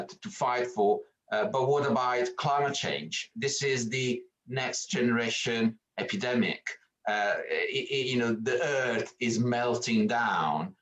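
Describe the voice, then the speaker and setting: A man's voice: mid-range voice, poor audio. A man hosting an online panel, thanking guests and introducing speakers with many hesitations. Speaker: panel host.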